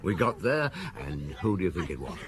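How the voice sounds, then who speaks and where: An actor playing a shrewd, authoritative chief inspector with a husky voice in a radio murder mystery. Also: male voice, low-pitched, big pitch swings, normal speed. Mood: dry recounting. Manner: probing, authoritative, wry. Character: shrewd, commanding male police chief inspector.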